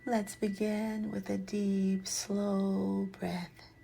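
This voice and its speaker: A woman leading a guided yoga nidra meditation in a soft, soothing, hushed voice that often trails into a breathy whisper. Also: medium pitch, completely flat delivery, very slow, slight background noise.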